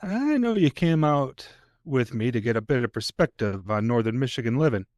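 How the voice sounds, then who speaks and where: A man's voice: medium pitch, expressive, a little mellow, no room sound, close to an everyday voice. Man playing a folksy rural deputy, dry close sound. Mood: folksy, easygoing. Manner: folksy, colloquial, unhurried storytelling. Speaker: actor in an audio play. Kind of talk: naturalistic audio drama dialogue.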